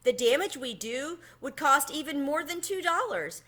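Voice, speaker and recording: A woman's voice: sits high, lively intonation, normal speed, pushed and bright, voice pushed up. A woman voicing an honest, worried male cricket in a storybook, earnest and plaintive. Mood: earnest protest.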